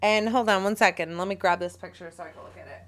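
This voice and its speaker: A woman in her thirties co-hosting a casual podcast, chatty, teasing and animated. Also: fairly low pitch, quick pace, slight background noise. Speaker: podcast co-host. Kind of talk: casual chat.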